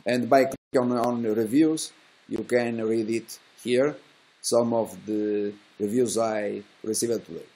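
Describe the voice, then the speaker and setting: A middle-aged man who is not a native English speaker, giving unscripted market commentary in halting fragments with drawn-out hesitations and a self-assured manner. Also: medium pitch, steady tone, warm tone. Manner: self-assured, boastful about results. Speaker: stock trading educator and host. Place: small indoor room.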